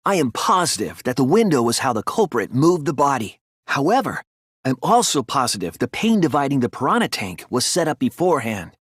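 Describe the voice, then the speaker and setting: A man voicing an earnest young apprentice detective in a dramatic anime video game, reasoning with deliberate intensity. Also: medium pitch, sing-song, slightly rough, dry recording, clean studio sound. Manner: earnest, deliberate, deductive, sometimes hesitant.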